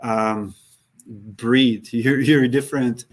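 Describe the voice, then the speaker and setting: A man's voice: medium pitch, fairly even intonation, slow pace. A man with an accent, hosting and answering viewers' questions in a live session. He talks casually, dropping articles, with many fillers and restarts. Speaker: host. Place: Minneapolis.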